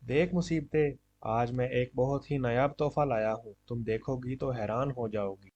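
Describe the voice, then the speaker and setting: A man's voice: mid-range voice, normal speed, a little mellow, muffled recording, natural voice. A man voicing a greedy, simple-minded, blustering old farmer in a cartoon moral story. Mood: boastful.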